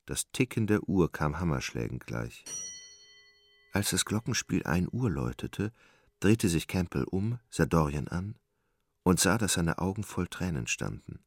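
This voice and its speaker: A man narrating a literary radio drama in a measured, grave manner. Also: deep voice, sing-song, creaky, dry recording.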